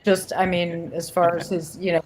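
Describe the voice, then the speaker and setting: A woman interviewing casually, asking questions in tentative, halting phrases. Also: lowish voice, little pitch movement, darkish tone, slight background noise. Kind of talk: casual chat.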